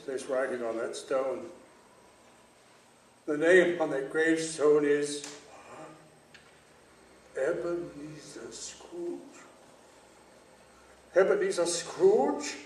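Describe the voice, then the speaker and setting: A man playing a frightened, querulous old miser in a theatrical radio play, stammering and pleading as he repeats himself. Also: fairly high pitch. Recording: distant echoey theater stage, slight noise.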